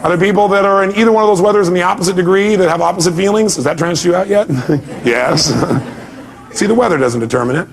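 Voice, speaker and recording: A man's voice: high-pitched, fast talker. A man speaking as a motivational seminar speaker, emphatic, putting rhetorical questions to his audience and often answering them himself.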